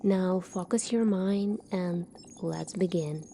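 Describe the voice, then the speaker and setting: Slow, soft-spoken woman doing ASMR roleplay. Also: on the low side, soft background music. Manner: measured, deliberate, clinical. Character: calm, formal female military doctor in a sci-fi future.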